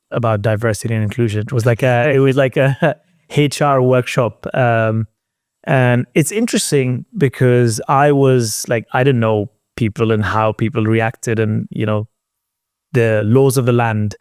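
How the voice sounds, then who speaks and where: A man hosts a relaxed interview, sharing personal experience in loose, emphatic, informal language. His medium-pitched voice has plenty of creak. It sounds dry and close, without room echo. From outside the UK, moved to London as an adult. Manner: informal, emphatic, mild swearing. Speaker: interview host. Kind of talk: casual personal storytelling in conversation.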